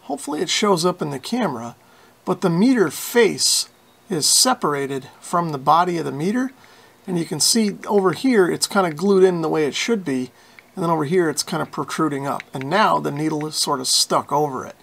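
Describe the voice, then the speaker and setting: A man with a fairly high voice and plenty of vocal fry narrates a hands-on repair tutorial. His delivery is informal and folksy, with a self-deprecating streak. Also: animated, brisk, a bit bright. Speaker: radio repair hobbyist and video host.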